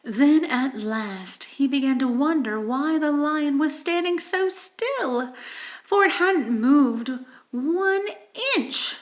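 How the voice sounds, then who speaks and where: A woman reading a children's story aloud in an expressive, dramatic manner, with emphatic stresses and hushed moments. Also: sits high, slow pace. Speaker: audiobook reader.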